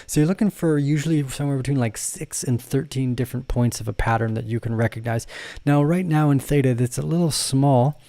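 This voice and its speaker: A man's voice: mid-range voice, fairly quick, mellow, no room sound. A man hosting a casual livestream, talking through trading charts and reading viewers' comments in a rambling, self-correcting way with frequent laughs. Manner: addresses viewers and reads their comments. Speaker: crypto trading channel host.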